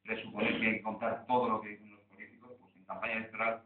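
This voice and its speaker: Male panelist with a lowish voice, measured and hesitant, recorded far off in an echoey hall. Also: completely flat delivery, slight background noise. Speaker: journalist speaking on a panel. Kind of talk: round-table conference talk. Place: conference hall. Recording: echoey hall, far from mic, phone-like lo-fi sound.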